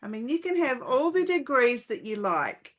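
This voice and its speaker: A woman's voice: fairly high pitch, sing-song, moderate pace. Plain-spoken woman hosting a podcast. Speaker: podcast host. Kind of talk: casual conversational interview.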